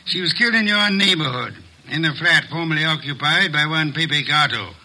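An actor playing a pompous, exacting master detective in an old radio drama, with formal, precise diction. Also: male voice, a little high, a little fast, pushed and bright, low-quality recording. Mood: stern, probing.

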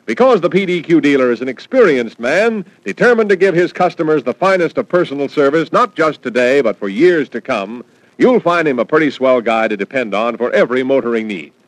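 A man's voice: medium pitch, animated, brisk, dry recording, rough recording. A man reading a scripted 1940s radio advertisement with polished, emphatic salesmanship. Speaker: radio commercial announcer.

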